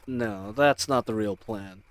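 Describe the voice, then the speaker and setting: A man's voice: mid-range voice, a bit bright, no room sound. A man playing a charming, cocky bandit crew leader in an audio roleplay, with a teasing, swaggering, conversational manner. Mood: amused, conspiratorial. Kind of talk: dramatic audio roleplay acting.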